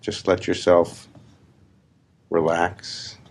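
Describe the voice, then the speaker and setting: Man, very low gravelly voice, guided meditation talk, deliberate short phrases, spiritual teacher. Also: little pitch movement, darkish tone. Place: live session before a group in Los Angeles.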